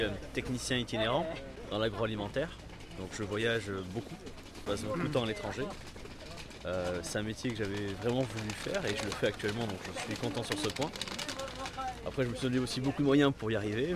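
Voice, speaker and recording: Man, lowish voice, casual interview style, measured hesitant explaining, people chattering in the background. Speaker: interviewee, travelling technician. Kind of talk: casual spoken testimonial. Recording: outdoor chatter and urban noise.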